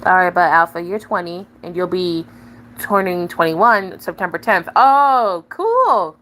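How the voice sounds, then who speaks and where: A woman's voice: on the low side, lively intonation, measured pace, fairly bright tone, a little hiss or hum, low-quality recording. A nineteen-year-old woman streaming live, rambling casually, chatting with viewers and often giggling and restarting her sentences.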